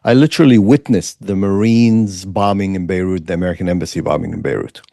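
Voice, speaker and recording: A man's voice: low-pitched, fast, creaky, dark tone, no room sound, clean studio sound. A man, telling his story earnestly as an interview guest, with many fillers. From Lebanon. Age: 50s. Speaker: guest, former state legislator.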